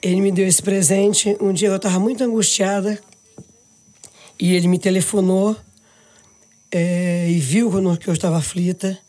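A woman from Pará with a Brazilian accent, a guest in an interview, telling stories about her faith with feeling and many restarts. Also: medium pitch. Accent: Brazilian Portuguese. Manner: warm, devout, emotional storytelling with many restarts. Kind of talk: casual interview talk.

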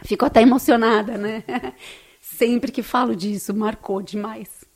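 A woman's voice: fairly high pitch, fairly even intonation, unhurried, a little mellow. A woman from Brazil with a Brazilian Portuguese accent, speaking as an interview guest in a heartfelt, conversational storytelling way.

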